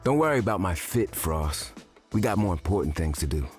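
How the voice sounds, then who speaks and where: A brusque young hero for a video game. He has a very low voice and streetwise delivery, with light music underneath. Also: big pitch swings, brisk, a little mellow. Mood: brusque, teasing. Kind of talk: video game character dialogue.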